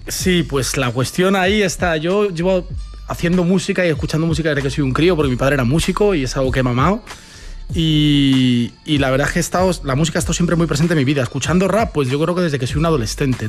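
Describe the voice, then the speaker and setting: Un hombre de Madrid, rapero invitado, que habla relajado y coloquial, con muletillas. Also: male voice, on the high side, fast, soft background music. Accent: Madrid Spanish. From Spain. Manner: relaxed, slangy, many fillers like "¿no?" and "tío". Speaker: rapper guest. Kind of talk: casual interview answers.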